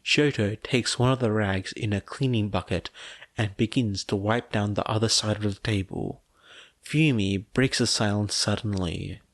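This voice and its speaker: A man reading fiction aloud as an audiobook narrator, soft and measured, with dramatic pauses between phrases. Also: lowish voice, no room sound.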